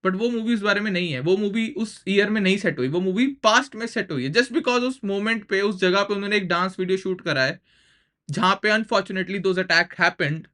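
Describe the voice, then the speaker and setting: A man's voice: high voice, very fast, pushed and bright. A young man with an Indian accent giving casual, opinionated reaction commentary, slipping informally between two languages. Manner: opinionated, informal, switching between English and Hindi.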